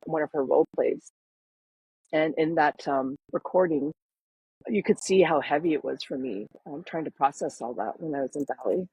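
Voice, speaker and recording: A woman in her fifties who grew up in Canada tells her story in an interview. Her voice is slightly low and breathy, soft and gentle. She talks earnestly and reflectively, often pausing on fillers. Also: rough recording. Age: 50s. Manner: earnest, reflective, many ums. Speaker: guest, parenting coach. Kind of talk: podcast interview, personal story.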